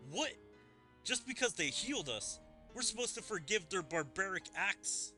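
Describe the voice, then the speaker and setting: Man doing an indignant, high-voiced fantasy soldier. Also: big pitch swings, normal speed, sharp tone, soft background music, heavily acted. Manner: urgent, formal, indignant. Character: indignant, loyal fantasy soldier reporting to his king. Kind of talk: reading game dialogue aloud in character.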